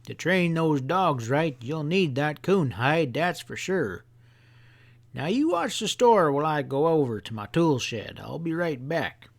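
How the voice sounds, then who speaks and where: A man's voice: on the high side, very animated, a little slow, vocal fry, full character voice. A man voicing a mischievous, folksy old country grandfather who explains things with earnest emphasis in an audiobook story. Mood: sly, folksy. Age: older.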